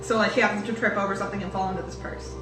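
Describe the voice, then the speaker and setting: A woman's voice: mid-range voice, level tone, racing. A woman playing a tabletop role-playing game, talking casually with blunt, profane language. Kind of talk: casual improvised game chat. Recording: echoey room, distant mic, clear music behind.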